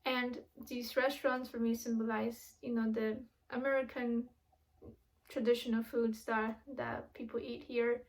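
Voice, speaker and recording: Young woman, earnest, flat-toned. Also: fairly high pitch, a little slow, rough recording. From grew up in Miami, born in Ecuador. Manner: earnest, with frequent restarts and "you know" fillers. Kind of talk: casual video presentation.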